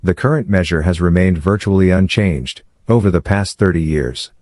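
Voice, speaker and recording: Low-pitched man reading formal passages aloud. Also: lively intonation, normal speed, darkish tone, a little hiss or hum. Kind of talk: read-aloud exam practice of formal passages.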